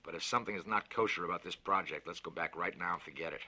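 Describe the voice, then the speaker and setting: A man acts out a skeptical, prickly reporter in a science fiction audiobook. The voice is very deep yet sharp-edged, and he puts his questions bluntly, as a challenge. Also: quick pace, husky, full character voice. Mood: irked. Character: skeptical, prickly male journalist visiting the moon.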